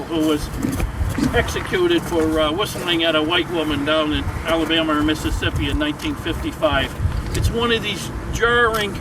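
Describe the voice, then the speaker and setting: Guy giving an earnest speech outside, high-ish voice, kinda far from the mic with loud noise all over. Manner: earnest, repeats words and phrases when building to a point. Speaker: speaker at an outdoor memorial ceremony. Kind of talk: public speech. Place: outdoors at a memorial site. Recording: distant outdoor recording, heavy noise.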